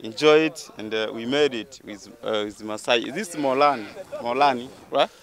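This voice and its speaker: A Tanzanian man hosting a casual travel vlog outdoors, talking in an excited, halting way full of fillers. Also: medium pitch, crowd noise. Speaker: vlog host. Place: outdoors in open grassland.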